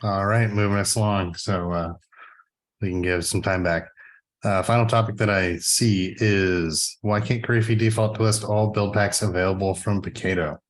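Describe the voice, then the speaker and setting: A man's voice: on the low side, fairly even intonation, moderate pace, rough recording. A man in his forties casually moderating a work video call as its host. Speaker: meeting host.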